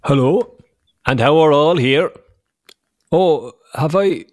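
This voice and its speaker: A man playing a gentle, affable Irish father in a children's audio drama. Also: a little high, slow.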